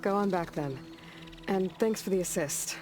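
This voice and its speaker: An actress playing a young female hunter, a capable outsider who speaks calmly and directly. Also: mid-range voice, normal speed, soft background music, natural voice. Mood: determined. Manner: calm, direct, matter-of-fact. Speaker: game heroine. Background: game score.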